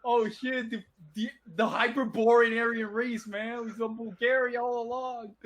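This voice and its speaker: Man, high bright voice with lively swings, jokey voice-chat banterer. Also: normal speed, a little hiss or hum. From Puerto Rico. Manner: joking, laughs often, mock-dramatic. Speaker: debate participant. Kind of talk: casual online voice chat banter.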